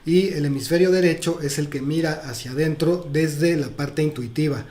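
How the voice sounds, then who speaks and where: A man's voice: a little high, moderate pace, mellow. A man, co-hosting a casual panel talk and explaining ideas earnestly. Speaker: podcast co-host.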